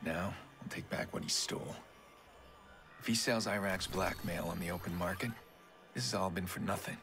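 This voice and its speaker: Casting: grim game vigilante, thirties, low-pitched, terse and commanding, music underneath. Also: male voice, steady tone, moderate pace. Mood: grim, brooding. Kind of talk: video game dialogue and narration. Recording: music behind the voice.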